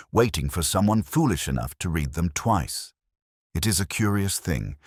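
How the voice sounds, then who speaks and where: A man acting as a brooding police inspector with an extremely low voice. He narrates his case in measured, literary phrases with expressive rises and falls. The recording is dry and close, like an audio detective drama. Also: no room sound, heavily acted. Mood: wry, pensive. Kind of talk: first-person scripted detective narration.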